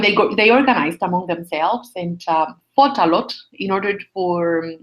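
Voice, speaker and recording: A woman with a Puerto Rican accent answering interview questions conversationally, often pausing to search for words and repeating phrases. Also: mid-range voice, dull audio. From Puerto Rico. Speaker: interview guest, astronomer.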